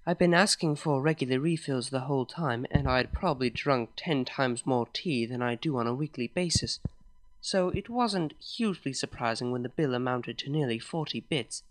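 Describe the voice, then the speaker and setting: A man reading a first-person fan story aloud in a steady, even way. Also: mid-range voice, normal speed. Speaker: story reader.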